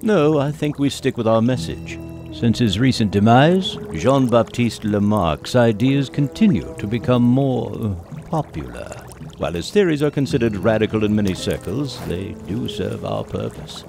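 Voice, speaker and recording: A man's voice: mid-range voice, expressive, normal speed, creaky, music in the background. An actor playing a greedy, calculating 1830s English businessman in an audio drama, with formal, pompous diction. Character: scheming, greedy 1830s English elixir-company boss, cold and calculating. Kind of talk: family audio drama acting.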